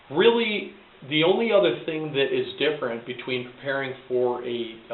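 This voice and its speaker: A man giving direct, practical career advice as a recruiter and coach, stressing his key words as he explains. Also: mid-range voice. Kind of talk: advice talk, semi-prepared instruction. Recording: echoey room, thin phone-like sound.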